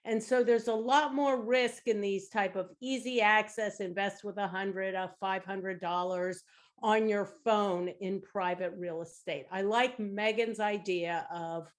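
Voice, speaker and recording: A woman co-hosting a finance podcast, chiming in with measured warnings and questions. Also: medium pitch, fairly even intonation. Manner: measured, cautionary. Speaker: podcast co-host. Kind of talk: casual finance talk.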